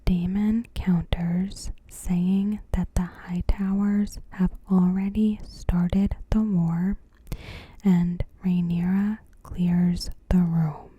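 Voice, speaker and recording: A woman whispering softly and carefully in a breathy voice, narrating a scripted recap in ASMR style. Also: fairly low pitch, steady tone. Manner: soft, unhurried, careful. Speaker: ASMR creator.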